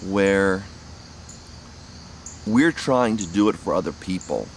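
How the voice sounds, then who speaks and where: A man rambling casually outdoors about faith, speaking haltingly with many restarts. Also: low-pitched, slight background noise. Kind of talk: casual spiritual musing. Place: outdoors near trees.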